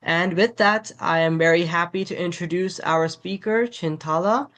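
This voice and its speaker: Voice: medium pitch, moderate pace, fairly bright tone. A webinar host reading a formal prepared introduction over a video call. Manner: reads prepared remarks, formal. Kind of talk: scripted introduction.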